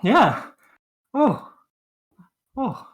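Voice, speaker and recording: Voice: mid-range voice, sing-song, drawn-out words. Young streamer, casual banter. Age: young adult. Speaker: co-host of a student gaming charity-style stream.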